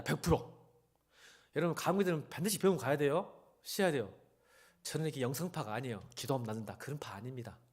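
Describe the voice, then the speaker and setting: A male pastor delivers a church sermon in a very lively, widely swinging voice. He talks directly to his listeners in a conversational way, urging them on and putting rhetorical questions to them. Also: medium pitch, husky. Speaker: senior pastor. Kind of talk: church sermon with Bible reading.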